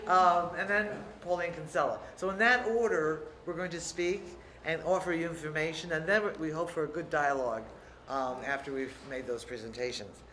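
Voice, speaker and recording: A man moderating a university panel in a relaxed, loose way, with many fillers. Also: on the high side, normal speed, few pauses. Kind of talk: casual introductions at a panel. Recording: echoey room, distant mic, faint noise.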